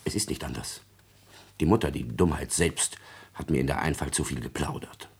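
An actor playing a cunning, servile secretary in a classical radio drama, speaking in an obsequious, deliberate, insinuating manner. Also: male voice, very deep voice, gravelly, husky, exaggerated voice. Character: cunning, servile secretary, a coldly scheming villain.

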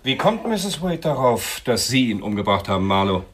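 An actor playing a suspicious, authoritative police inspector with a businesslike, questioning manner in a German radio crime drama. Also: male voice, mid-range voice, very animated, moderate pace, very noisy, lightly acted.

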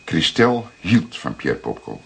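An actor playing a seasoned older police detective in a Dutch radio drama, explaining things deliberately with dramatic pauses. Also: male voice, low voice, big pitch swings, vocal fry, slightly rough, exaggerated voice. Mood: patient, explaining. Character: seasoned older male police detective, calm, plain-spoken storyteller.

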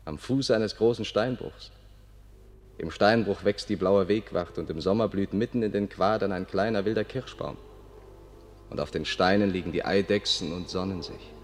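An actor in a German radio play as a solemn, deliberate guide to a blind gentleman. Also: low-pitched, close to an everyday voice. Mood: solemn, hushed. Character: male guide leading a blind, dignified companion. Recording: long reverb, loud music behind.

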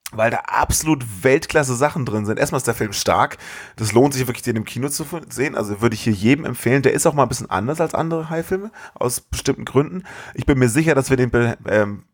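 A man's voice: medium pitch, expressive, a little fast, slightly rough. Slangy, enthusiastic man. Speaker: podcast co-host. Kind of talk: casual chat.

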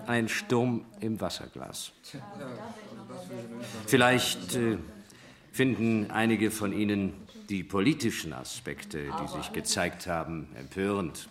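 Dismissive official giving a speech in a radio drama, lowish voice, crowd noise behind. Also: male voice. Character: smooth, dismissive male official addressing an audience.

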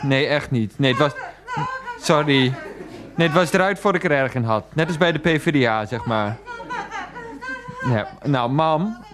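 Son on the phone to his mum, exasperated but placating, halting, voice jumps all over, radio play style. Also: on the high side. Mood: apologetic, placating. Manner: halting, repetitive, protesting, placating. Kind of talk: 1990s Dutch radio play, one-sided phone call.

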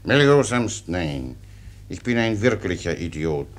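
An actor playing a cheerful, simple-minded soldier who rambles with naive, obedient earnestness in a German radio play. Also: male voice, deep voice, sing-song, unhurried. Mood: earnest, servile.

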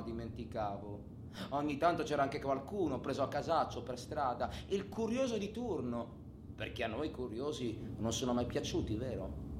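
A menacing criminal taunts his accomplice in a radio play. His voice is fairly high and theatrical, moving between forceful outbursts and hushed insinuation. The room rings with echo, and there is noise behind him. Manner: theatrical, swinging from excited shouts to hushed insinuation. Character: menacing, taunting male gang robber addressing his silent accomplice. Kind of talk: dramatic radio theatre monologue. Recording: echoey stage room with background noise.

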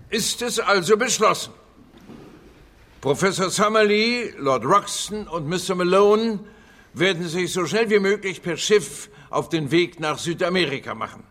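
An actor playing a dignified male institute director with a formal, deliberate manner in a radio drama. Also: high-pitched, a bit bright. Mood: authoritative. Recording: faint room ambience noise.

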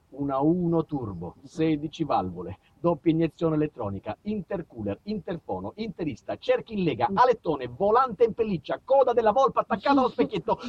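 High-voiced, animated male storyteller. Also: breathy edge. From southern Italy. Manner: dramatic, addresses listeners directly, emphatic lists. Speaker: storyteller, solo stage performer. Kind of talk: theatrical comic monologue narration. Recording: slightly muffled, faint background noise.

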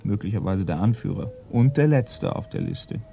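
A mellow-voiced, dutiful detective's assistant. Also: male voice, fairly low pitch, expressive, normal speed, creaky, highs cut off, a little hiss or hum. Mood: factual, reporting. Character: dutiful, matter-of-fact male detective's assistant. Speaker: actor in a radio crime drama. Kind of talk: German radio play acting.

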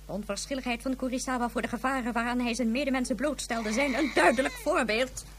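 A woman's voice: on the high side, quick pace, noisy background, close to an everyday voice. A woman playing a self-righteous president of a colony world in a radio drama, theatrical and doting on her pet. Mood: stern, pompous. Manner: theatrical, cooing then stately.

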